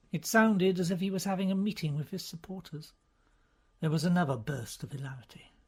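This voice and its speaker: A man reading a novel aloud as scripted narration, in a measured, formal storytelling manner. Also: on the high side, darkish tone, husky. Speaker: audiobook reader.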